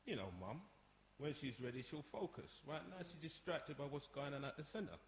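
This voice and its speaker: A man's voice: on the high side, expressive, moderate pace. A man playing a cheeky, easygoing brother in a radio play, blunt and casual as he questions things. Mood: matter-of-fact. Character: cheeky, easygoing adult brother who questions his sister. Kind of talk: live-performed radio play. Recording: echoey room, clear background noise.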